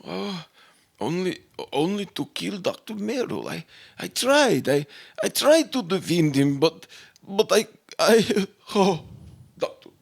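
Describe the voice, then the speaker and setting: A man plays a frail, distraught professor in a radio melodrama. He falters and stammers at a slow pace, his husky, gravelly voice swinging widely in pitch. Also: sing-song, full character voice. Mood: agitated. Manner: faltering, stammering, repeats words. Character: frail, badly injured, distraught male professor. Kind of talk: old-time radio melodrama acting.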